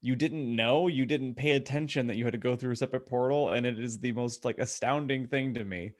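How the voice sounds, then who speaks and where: A man's voice: mid-range voice, a little fast, darkish tone. A man co-hosting a comedy podcast, chatting casually with sarcastic teasing. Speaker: podcast co-host.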